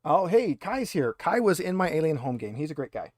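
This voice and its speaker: A man's voice: on the high side, big pitch swings, fairly quick, a little mellow, no room sound, studio quality. A man running a tabletop roleplaying game, narrating conversationally with wry asides. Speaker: game master.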